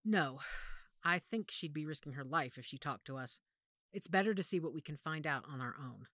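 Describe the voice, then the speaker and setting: Pack leader mother, werewolf audio drama, female actor: low register, decisive, strategic, dry studio sound. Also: animated, moderate pace, fairly bright tone, no room sound. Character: commanding werewolf pack matriarch, a calculating mother.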